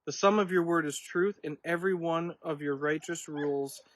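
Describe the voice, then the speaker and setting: A man's voice: fairly high pitch, moderate pace, a little hiss or hum. A man reading verses of scripture aloud. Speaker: Bible reader.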